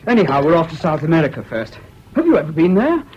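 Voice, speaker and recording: Troubled young Englishman, lightish pitch, excitable yet unsure, for a hissy vintage radio play. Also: fast talker. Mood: elated. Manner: hesitant, earnest, swinging between gloom and giddy excitement. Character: troubled, boyish, excitable but self-doubting young man. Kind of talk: British radio drama acting. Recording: dull, hissy old radio recording.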